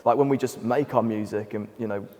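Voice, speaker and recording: Raspy man with a reverberant sound. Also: lowish voice, fairly even intonation, brisk, warm tone. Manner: rambling storyteller, many restarts. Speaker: band member guest. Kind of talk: casual interview chat. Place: film studio stage. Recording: studio with long reverb.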